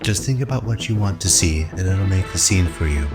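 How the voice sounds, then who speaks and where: Man acting a smooth, low-voiced shady hacker. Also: animated, brisk. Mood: matter-of-fact. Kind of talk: radio drama acting. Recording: music under the voice.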